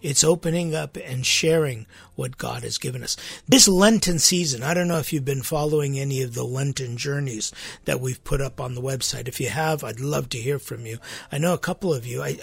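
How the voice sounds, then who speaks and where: A man with a raspy voice, a priest hosting his podcast, talking earnestly and conversationally, with restarts and sudden emphatic rises. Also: mid-range voice, fairly bright tone. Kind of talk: informal spiritual talk. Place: studio.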